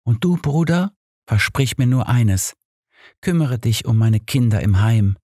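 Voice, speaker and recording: A man voicing a proud, resigned woman in an audiobook, quiet and defiant in manner. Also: mid-range voice, a little slow, no echo, clean studio sound, natural voice. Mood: resigned, pleading. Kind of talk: audiobook character reading.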